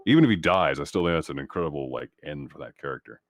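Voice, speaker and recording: A man's voice: a bass voice, lively intonation, fast, no echo. A man playing a tabletop game, talking casually and at length, reflective and given to swearing. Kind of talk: casual talk about his game character.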